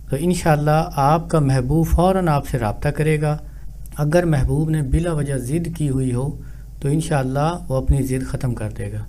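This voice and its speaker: A man with a dark, mellow voice delivers formal spiritual instructions as a healer. His phrasing is measured and deliberate, at a middling pitch. There is faint noise behind the recording. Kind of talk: instructional monologue, formal religious address.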